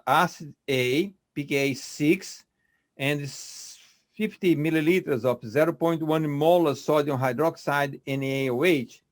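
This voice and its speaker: A man moderating an online biochemistry quiz on a video call, speaking in a measured way with frequent fillers. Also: a little high.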